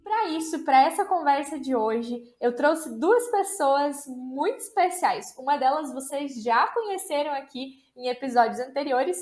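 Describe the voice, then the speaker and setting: High-voiced chatty woman hosting a podcast. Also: animated, measured pace, few pauses, a little mellow, faint music behind. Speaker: podcast host. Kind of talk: casual podcast chat.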